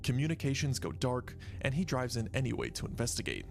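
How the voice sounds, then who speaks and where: Gravelly male narrator over soft music. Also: medium pitch, big pitch swings, brisk. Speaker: video narrator. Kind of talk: scripted video essay narration. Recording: faint music bed behind voice. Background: faint background music.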